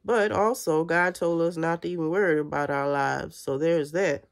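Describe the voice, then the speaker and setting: A woman's voice: low voice, steady tone, moderate pace, creaky. A woman in her thirties with a stuffy, congested voice, speaking earnestly to her viewers from her bedroom in a casual spiritual vlog. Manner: earnest, addresses viewers as y'all. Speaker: spiritual vlogger. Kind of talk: casual spiritual talk to camera. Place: bedroom indoors.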